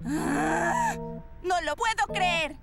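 A woman's voice: extremely high pitch, huge pitch swings, very slow, vocal fry, exaggerated voice. A woman voicing a vain, bossy teenage girl model in a cartoon, whiny and indignant. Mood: defensive whining. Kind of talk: animated cartoon dub. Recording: music behind the voice.

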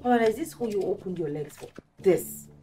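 Casting: woman to play a confrontational, scolding friend in a screen drama, highly animated delivery. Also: a little fast, recorded from a distance, over music, lightly acted. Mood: outraged. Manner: combative, scolding. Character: fiery, confrontational female best friend, protective and scornful. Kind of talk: film drama acting.

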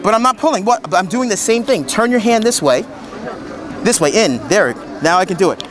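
A man's voice: sits high, expressive, a little fast. A man giving an informal hands-on demonstration, talking insistently and repeating short commands. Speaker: product demonstrator at a convention booth. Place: convention booth in large indoor hall. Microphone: camera a few metres away. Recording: busy convention hall crowd noise.

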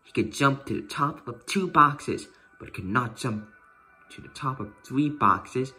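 A man's voice: medium pitch, measured pace, pushed and bright, faint music behind. A man reading a children's storybook aloud as narrator. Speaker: storybook reader.

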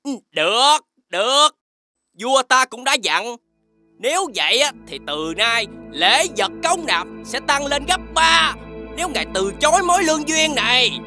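A haughty cartoon envoy, high and sharp, swooping through ceremonious phrases. The manner is pompous and insinuating, with a threat underneath. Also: female voice, very animated, a little slow, soft background music, heavily acted. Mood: offended, menacing. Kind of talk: animated fairy tale acting.

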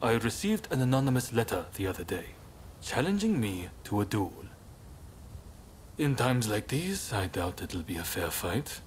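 A man playing a composed, courteous young samurai rebel leader in a video game, speaking in a polite, measured and earnest way. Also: mid-range voice, slight background noise, natural voice. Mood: earnest, discreet. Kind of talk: video game cutscene acting.

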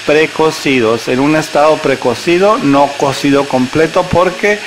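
A man's voice: fairly high pitch, unhurried, background noise. A man hosting a cooking show, chatting casually and rambling, often correcting himself as he talks to the viewer like a friend.

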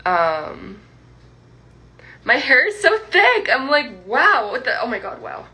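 A woman's voice: a little high, big pitch swings, slow pace, bright, forceful tone. A young woman livestreaming from home, chatting to fans in a rambling, laughing way full of likes and restarts. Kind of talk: casual chat with viewers. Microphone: phone livestream.

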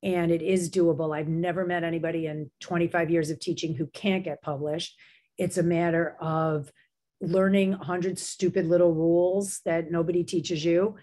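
A woman's voice: lowish voice, little pitch movement, moderate pace, dark tone. A middle-aged woman with a slight sore throat, a writing professor chatting enthusiastically as a guest on a video call, with many fillers and restarts. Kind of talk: casual video-call interview.

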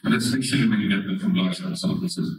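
A man in his thirties, from Estonia, speaks with an Estonian accent in a fairly low voice. He talks into a handheld mic, his words booming through a big hall and picked up from well back in the room. His delivery is relaxed and wry, and he often checks in with "right?". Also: fast talker, creaky. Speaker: conference speaker. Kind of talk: conference keynote talk. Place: large conference hall, indoors. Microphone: handheld microphone through hall speakers. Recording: echoey hall, from far off.

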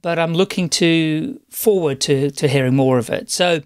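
A man's voice: fairly high pitch, normal speed, clear, resonant tone, no echo, studio quality. A man hosting a business podcast, upbeat and enthusiastic as he asks questions. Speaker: podcast host. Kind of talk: podcast interview.

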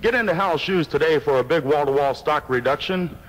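High-pitched male radio announcer. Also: brisk, poor audio. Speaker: radio disc jockey. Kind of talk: 1970s radio ad read. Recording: old radio aircheck with music behind. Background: music.

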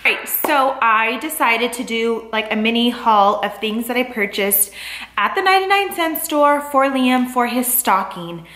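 Young female vlogger, chatty and rambling, fairly high voice, roomy camera-mic sound. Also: expressive, unhurried, a bit bright. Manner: bubbly, rambling, lots of "like" and "um". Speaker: lifestyle vlogger. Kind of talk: casual chatty vlog. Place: store aisles, then a home living room.

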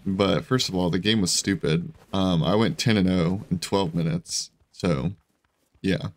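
A man with a hoarse, scratchy voice giving casual, rambling commentary over his own gameplay, full of fillers and restarts. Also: deep voice, measured pace, dry recording. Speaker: gaming video creator.

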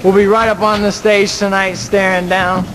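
A man's voice: high voice, flat delivery, moderate pace, heavy background noise. A young man hosting a music countdown in an upbeat, announcer-like way from a concert venue. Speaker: band member co-hosting a countdown. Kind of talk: energetic show hosting.

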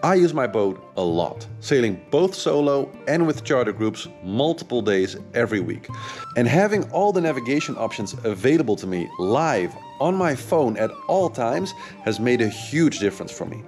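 An excited man in his thirties talks through a sailing gadget on his boat outdoors, his voice sitting at an ordinary middle pitch. He stumbles over fillers as he goes, and music plays clearly underneath him. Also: normal speed. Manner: enthusiastic, with frequent fillers. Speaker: sailing vlogger and charter captain. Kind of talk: casual product review. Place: on a sailboat, outdoors.

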